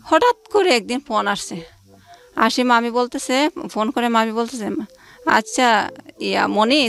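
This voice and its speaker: Young woman, fairly high voice, informal personal storytelling, recounts reported dialogue. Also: gravelly, a bit bright. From Bangladesh. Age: late 20s. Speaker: interview guest telling her life story. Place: radio studio.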